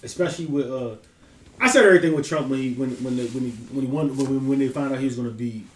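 A man's voice: mid-range voice, quick pace. A man co-hosting a podcast with speech, arguing casually and emphatically and repeating phrases for stress. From Barbados. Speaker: podcast co-host. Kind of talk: heated casual group debate. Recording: echoey room.

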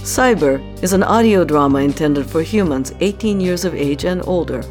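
An actress playing an officious dystopian announcer, reading a formal, deadpan scripted disclaimer for an audio drama. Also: female voice, sits low, moderate pace, soft background music. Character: officious dystopian announcer addressing humans and machines.

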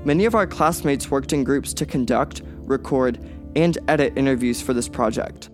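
A man's voice: mid-range voice, faint music behind. Young man reading a museum guide. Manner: measured, read-aloud delivery. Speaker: student presenter. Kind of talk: scripted audio guide narration.

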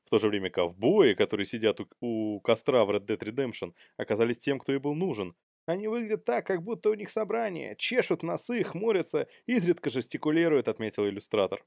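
A man's voice: medium pitch, sing-song, brisk, gravelly, few pauses, darkish tone, no echo, studio quality. A man co-hosting a news commentary show, reading stories in an informal, amused way.

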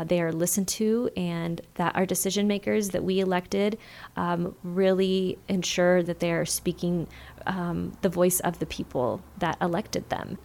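A woman's voice: on the low side, a little mellow. A woman community organizer speaking earnestly as a radio interview guest.

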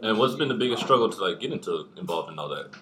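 A man's voice: fairly low pitch, animated, quick pace, noisy background. A man hosting a podcast who asks easygoing questions in a casual chat. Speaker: podcast host. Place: busy bar. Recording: bar crowd chatter behind.

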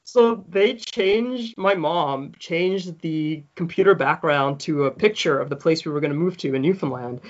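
A man's voice: a little high, moderate pace, hardly any pauses, muffled recording. A man in his thirties, originally from South Africa, telling stories as a podcast guest, laughing often and acting out the people he quotes. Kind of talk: casual storytelling.

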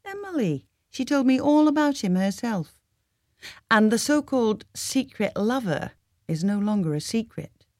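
A woman voicing a shrewd, wealthy grandmother nearing eighty, who speaks in a dry, knowing, gently teasing way. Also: a little high, big pitch swings, unhurried, no room sound, voice pushed up.

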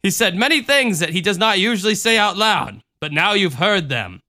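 A tender, wise mother figure speaks slowly and calmly, every word deliberate, as a man reading a story puts her on. Her voice rides a little above his own and stays bright and clear. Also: high voice, pitched above the performer's own voice, heavily acted. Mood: comforting. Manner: slow, calm, deliberate speech. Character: gentle, solemn motherly woman, tender and wise.

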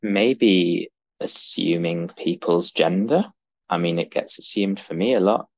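Very deep, confident voice in echoey room. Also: a little slow, a little mellow. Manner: confident, fluent speaker. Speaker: podcast guest, real estate lawyer. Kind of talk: earnest interview talk. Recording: dull sound, echoey room.

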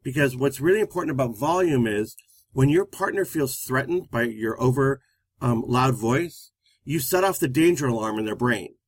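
A man with a loud voice who talks fast, co-hosting a live-streamed relationship talk show. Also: medium pitch, husky, no echo. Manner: fast-talking, energetic.

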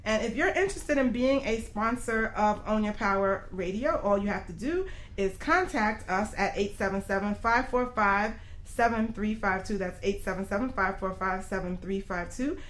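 A woman's voice: medium pitch, moderate pace, a bit bright. A woman hosting a casual radio talk show in a playful, slangy way.

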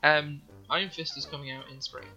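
A man's voice: a little high, steady tone, brisk, bright, forceful tone, faint music behind, low-quality recording. A young man from northeast England hosting a casual podcast chat, rambling with lots of ums and restarts. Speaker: podcast host.

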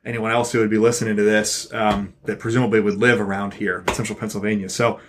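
A man's voice: fairly low pitch, flat delivery, quick pace, a little hiss or hum. A man co-hosting a church podcast, talking in a rambling, encouraging way with frequent fillers. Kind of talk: casual chat.

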